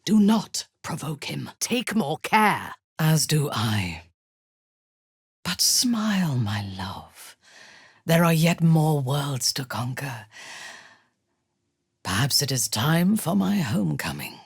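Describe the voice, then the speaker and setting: A woman playing a ruthless, bloodthirsty warrior commander in a video game, with a husky, breathy voice and haughty, formal, biting delivery. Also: low-pitched, big pitch swings, no echo, studio quality, full character voice. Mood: intimate, hushed, then darkly amused. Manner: haughty, measured, formal diction with biting disdain. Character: ruthless, imperious female warrior and cult commander, bloodthirsty, darkly amused. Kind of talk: video game character dialogue.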